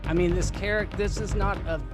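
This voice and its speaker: A man's voice: sits high, fairly even intonation, fast, over music. A man taking part in a casual debate show, making his case in a wry, argumentative way. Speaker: debate show contestant, podcaster.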